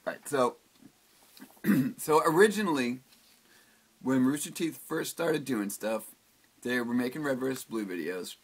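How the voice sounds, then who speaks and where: A man's voice: mid-range voice, expressive, unhurried, long pauses, breathy edge. A singer-songwriter man talking casually in his recording studio, rambling with lots of fillers and the odd swear word.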